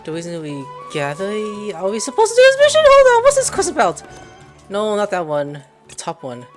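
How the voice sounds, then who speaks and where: A woman's voice: medium pitch, huge pitch swings, a little fast. A woman giving casual let's play commentary, blunt, sweary and reactive. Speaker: gaming streamer. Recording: game music behind.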